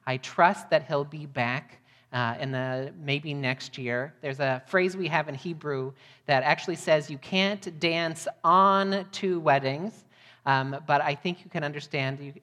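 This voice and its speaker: A woman's voice: low voice, fairly bright tone. An American woman giving a sermon to a congregation as a guest missionary preacher. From United States, Midwest. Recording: long hall reverb.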